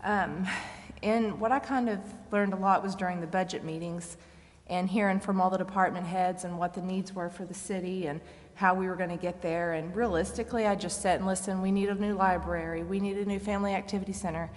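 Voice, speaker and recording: A woman's voice: lowish voice, fairly even intonation, fairly quick. A female council member giving informal, earnest, plain-spoken remarks at a public meeting. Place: large indoor council chamber. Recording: reverberant meeting hall.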